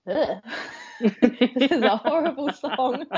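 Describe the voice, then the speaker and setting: A woman's voice: high-pitched, sing-song, drawn-out words, darkish tone. A young woman whose first language is Croatian, chatting as a podcast guest, laughing often between hesitant, filler-filled phrases. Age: young adult. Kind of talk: casual interview chat. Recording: dull lo-fi podcast audio.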